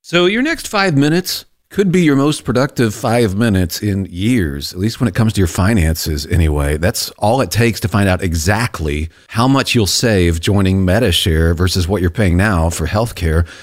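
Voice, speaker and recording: Persuasive low male ad announcer. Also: expressive, normal speed, few pauses. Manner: persuasive, emphatic on the number. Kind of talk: read radio advertisement.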